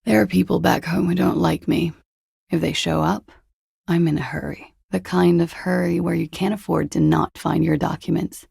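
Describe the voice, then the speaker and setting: A woman playing a cool, evasive young former beauty queen in a video game, speaking in a languid, dry, sardonic way with a husky, breathy voice. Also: fairly low pitch, little pitch movement, normal speed, a little mellow, no room sound, full character voice. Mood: guarded. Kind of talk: video game dialogue, low-key and naturalistic.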